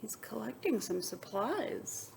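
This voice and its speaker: A woman teacher reading a picture book aloud to young students, expressive, moving between emphatic reading and soft, wondering asides. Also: a little high, takes it slowly, gravelly, whispered. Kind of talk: children's read-aloud with asides and questions to students.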